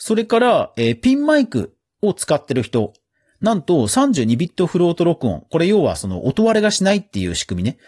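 A man's voice: on the high side, wildly swooping pitch, fairly quick, a little mellow. A man hosting a podcast, giving chatty, casual commentary on the news in a conversational way. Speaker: podcast host.

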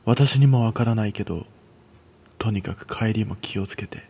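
Casting: a man as a spirit-sensing young woman in radio horror, low, gravelly, gravely cautioning. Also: moderate pace, warm tone, a little hiss or hum. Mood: concerned. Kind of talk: radio horror story dialogue.

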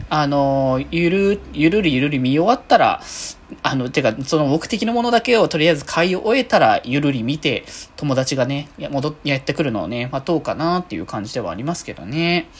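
A woman hosting a casual solo podcast, rambling along and often correcting herself as she goes. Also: low voice. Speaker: podcast host. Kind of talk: casual solo free talk.

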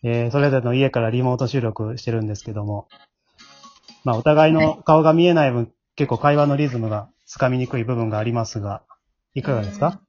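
A man's voice: mid-range voice, fast talker, darkish tone. A man hosts in a casual, polite way, explaining as he goes. He is on a slightly muffled remote connection, with music in the background. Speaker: host and scriptwriter of an amateur drama group. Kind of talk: casual hosting chat. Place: at home, remote recording.

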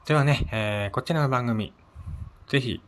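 A man hosting a casual solo radio chat, speaking politely and a little hesitantly, with soft fillers between his phrases. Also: mid-range voice, moderate pace. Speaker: solo podcast host.

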